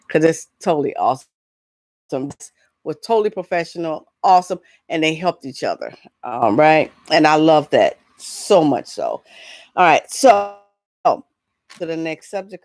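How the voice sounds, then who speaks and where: A woman hosting a casual entertainment vlog, talking in a rambling, halting, informal way. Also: deep voice, no room sound. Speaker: vlog host. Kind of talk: casual chat, entertainment gossip.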